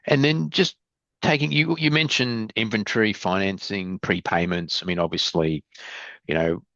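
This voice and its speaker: A man's voice: fairly low pitch, steady tone, moderate pace, fairly bright tone, no echo. A male analyst asking conversational questions on an investor call, hesitating and stammering as he rephrases them, over dull call audio.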